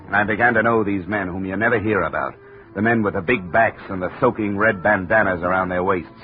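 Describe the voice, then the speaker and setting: An actor playing a wry, clipped American insurance investigator in a 1950s radio detective drama, on a vintage radio recording. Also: male voice, deep voice, brisk, natural voice. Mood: wry, businesslike. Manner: wry, clipped, matter-of-fact. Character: hardboiled wisecracking male American insurance investigator. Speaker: lead actor narrating as investigator. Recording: tinny vintage radio recording, music behind.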